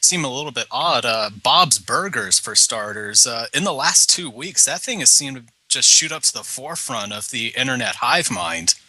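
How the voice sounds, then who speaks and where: A man's voice: medium pitch, animated, normal speed, hardly any pauses, bright, forceful tone, dry recording, poor audio. A man chatting as a guest on a casual podcast, an enthusiastic fan who jokes as he goes.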